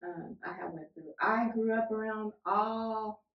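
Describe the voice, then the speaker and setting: A woman co-hosting a podcast as a family therapist, telling stories in a lively way and laughing often. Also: medium pitch, unhurried, lo-fi sound. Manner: animated storyteller, laughs often. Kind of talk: casual podcast chat. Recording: echoey room.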